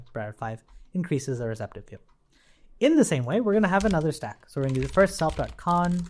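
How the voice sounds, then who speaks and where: A man giving an informal coding tutorial, explaining step by step in a conversational way and often checking in with a quick "right?". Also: a little high. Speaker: programming instructor.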